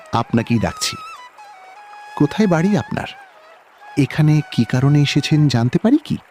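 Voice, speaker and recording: Man playing a flirtatious, animated landlord heir. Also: a little high, takes it slowly, pauses often, heavily acted. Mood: smitten, flirtatious. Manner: flirtatious, chuckling. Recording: music under the voice.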